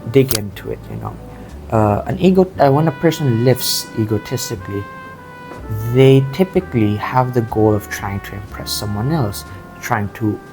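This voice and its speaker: A man from Southeast Asia talking casually and earnestly in a reflective vlog, halting and repeating phrases as he goes. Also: mid-range voice, low-quality recording. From Southeast Asia, grew up in Thailand and the Philippines. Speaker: amateur bodybuilder vlogger. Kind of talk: casual confessional vlog talk.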